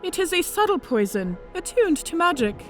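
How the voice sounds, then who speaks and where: An actress playing a cunning, vengeful dragon mage disguised as a centurion, speaking in a theatrical, formally articulate way in a fantasy audio drama. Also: female voice, very high-pitched, big pitch swings, moderate pace, faint music behind. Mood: mocking, calm.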